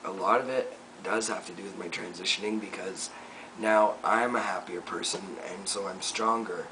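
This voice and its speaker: A young man recording a personal video diary update, talking reflectively and softly with many pauses and fillers. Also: deep voice, fairly even intonation. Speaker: vlogger. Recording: distant mic with noticeable room noise.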